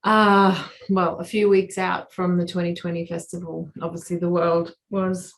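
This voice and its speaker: A middle-aged Australian woman interviewing a guest, chatty and upbeat, with quick interjections. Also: lowish voice, flat delivery. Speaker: interviewer, festival artistic director. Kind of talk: casual interview chat.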